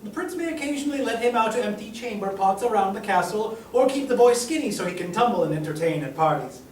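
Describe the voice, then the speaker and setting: A man's voice: high voice, expressive, quick pace, a little hiss or hum. A man voicing a smug, taunting town guard at a table read, in a roomy room with a distant mic. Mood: menacing. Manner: sneering, taunting.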